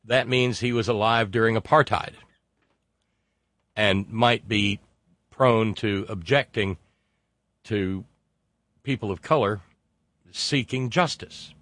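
A man's voice: on the low side, takes it slowly, pauses often, fairly bright tone, no echo. A man hosting a casual live show, rambling with many fillers and restarts. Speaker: host. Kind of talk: casual livestream talk.

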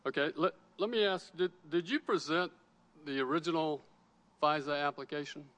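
A congressman with a fairly high voice presses a witness and keeps restarting his questions. The sound carries a long reverb from the large hearing room he is in. His questions come one after another. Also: normal speed, fairly bright tone, dull audio. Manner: pressing, repeats his starts. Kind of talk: hearing cross-examination.

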